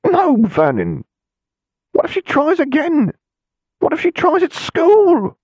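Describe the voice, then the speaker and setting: A man's voice: extremely high pitch, huge pitch swings, takes it slowly, darkish tone, no room sound, lo-fi sound, voice pushed up, heavily acted. A man voicing an anxious, spiteful middle-aged aunt, fretful and urgent, in a storybook reading. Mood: alarmed pleading.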